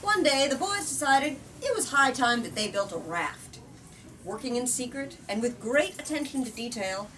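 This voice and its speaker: A woman reading a storybook aloud in an expressive, deliberate way, as the host of a book discussion. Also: fairly high pitch. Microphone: far from the speaker. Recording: distant mic, noisy room.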